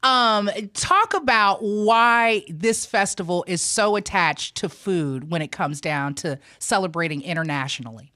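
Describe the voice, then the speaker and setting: A woman's voice: on the low side, unhurried, few pauses, sharp tone. Playful woman hosting. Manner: playful, teasing, upbeat. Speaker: TV show host. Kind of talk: casual interview chat.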